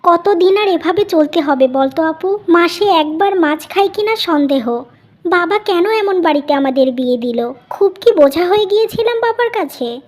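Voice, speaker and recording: A woman acts out a young wife from a cartoon morality tale. She is high-voiced and resentful, complaining about her poor married life. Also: animated, moderate pace, a little mellow. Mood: bitter. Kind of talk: Bengali cartoon story voice acting.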